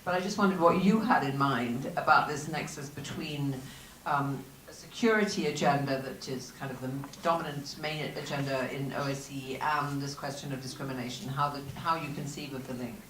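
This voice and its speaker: A woman with a low voice moderates a conference session, putting questions to the panel and calling on the audience in a brisk, polite way. The sound is roomy, as in a meeting hall. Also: fairly bright tone, slight background noise. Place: conference room.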